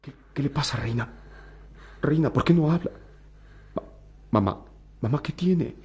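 A man's voice: medium pitch, a little slow, a little mellow, lightly acted. An anxious, devoted son in an old radio drama, acted by a man. He speaks with urgent concern in a theatrical, melodramatic style.

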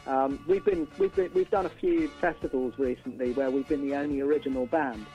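A middle-aged male musician chatting casually as an interview guest, halting and repeating words for emphasis. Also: medium pitch, sing-song, creaky, dark tone, muffled recording, faint music behind.

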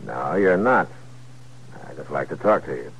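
Very deep-voiced frontier marshal. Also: male voice, expressive, moderate pace, vocal fry, a bit bright, breathy edge, full character voice. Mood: calm, concerned. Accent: American. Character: calm, easygoing frontier town marshal. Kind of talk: 1950s radio Western acting. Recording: muffled, faintly noisy old radio recording.

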